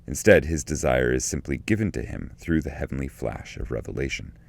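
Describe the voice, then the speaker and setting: A man reading scripted literary commentary and poetry in a measured, solemn, deliberate way. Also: a bass voice, animated, vocal fry. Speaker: narrator and translator reading his edition.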